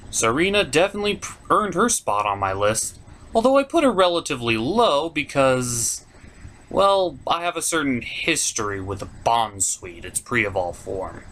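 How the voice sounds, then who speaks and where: A man with a mid-range voice and very lively, swooping intonation presents a countdown. His delivery is casual and opinionated, sprinkled with wry, informal asides. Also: medium pitch. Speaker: host of a top ten list show.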